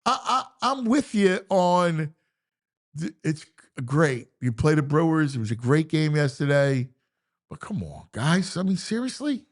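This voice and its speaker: A man's voice: on the high side, measured pace. A middle-aged man hosting a casual sports talk show, talking with lots of stammering restarts and playful bickering. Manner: animated, stammering restarts, bantering.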